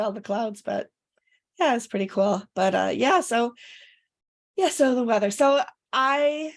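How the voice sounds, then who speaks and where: Raspy congested chatty woman. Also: fairly high pitch. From Rochester area, upstate New York. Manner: chatty, rambling with fillers. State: raspy and congested after illness. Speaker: podcast co-host. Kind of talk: casual chat.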